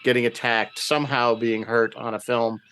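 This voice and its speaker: A man with an average-pitched voice talks casually as a guest podcaster. His delivery is loose and offhand. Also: fairly even intonation, normal speed, a bit bright, smooth. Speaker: podcast creator and host, guest. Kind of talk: casual chat.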